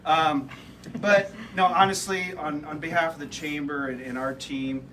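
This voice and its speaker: A man's voice: on the high side, fairly even intonation, unhurried, pushed and bright. A man with a Midwestern accent speaking for the chamber of commerce at a ribbon cutting, chatty with lots of ums and uhs. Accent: Midwestern American. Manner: joking then sincere, filler-heavy. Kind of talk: casual ceremony emcee remarks. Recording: distant mic, noisy event room.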